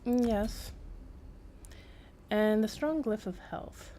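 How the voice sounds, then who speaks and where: A woman's voice: medium pitch, takes it slowly, warm tone, a little hiss or hum. A woman giving casual live-stream game commentary, rambling as she thinks aloud, with wry asides.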